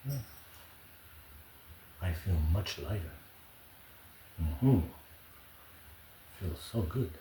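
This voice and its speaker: Slow, deep-voiced man in a roomy, noisy recording. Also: animated. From Canada. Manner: unhurried, murmuring asides and hums. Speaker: ASMR video host. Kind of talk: ASMR, casual cooking chat. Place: indoors at home kitchen. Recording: roomy echo, clear background noise.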